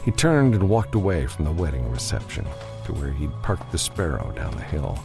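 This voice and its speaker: Very deep, gravelly man narrating fiction. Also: sing-song, normal speed, over music. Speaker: audiobook narrator. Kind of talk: scripted narration of a science fiction novel.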